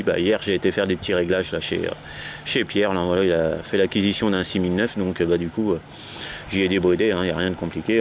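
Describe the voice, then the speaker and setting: A man's voice: sits low, a little mellow. A man with a French accent chatting casually as an amateur radio operator, talking in a rambling, hesitant, repetitive way outdoors. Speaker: amateur CB radio operator leading a round of contacts. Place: outdoors on a low wall at the end of a sea dike. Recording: faint ambient noise, outdoor portable radio setup.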